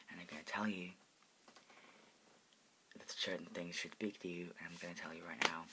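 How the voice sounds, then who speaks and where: Gravelly whisper from a twenty-something. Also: low voice, bright, forceful tone, a little hiss or hum, rough recording. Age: 20s. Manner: whispering throughout, profane, lots of "like" fillers. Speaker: video blogger answering viewer requests. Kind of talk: whispered casual chat. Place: at home in a parent's house.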